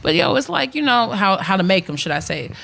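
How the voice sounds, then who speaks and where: A woman comedian from the East Coast chatting on a podcast, animated and slangy, often laughing and firing off questions. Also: medium pitch, fairly quick, vocal fry. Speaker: comedian guest. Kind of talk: casual podcast chat.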